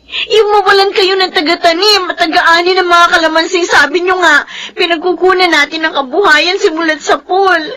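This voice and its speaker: A woman's voice: very high voice, fairly even intonation, moderate pace, sharp tone. A woman playing an earnest, emotional young daughter with a Filipino accent in a radio drama. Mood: tearful pleading. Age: young adult.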